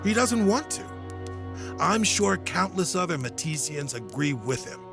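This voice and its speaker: A man playing a weary, middle-aged retired hero in a fantasy audio drama, earnest and solemn in his measured speeches. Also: sits high, sing-song, vocal fry, music in the background, full character voice. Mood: weary, resolute.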